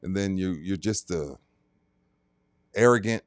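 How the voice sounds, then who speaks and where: A man's voice: low-pitched, a little slow, no echo. Blunt man in dry podcast chat. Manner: blunt, profane, mocks players in quoted voices. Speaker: sports talk podcast co-host. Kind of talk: casual sports chat.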